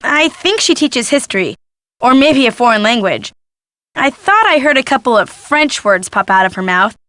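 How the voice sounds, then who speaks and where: A woman's voice: a little high, sing-song, normal speed, sharp tone, no echo. A woman playing a gossipy, snarky young female photojournalist in a video game, with sardonic, dramatic emphasis. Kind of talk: video game character dialogue.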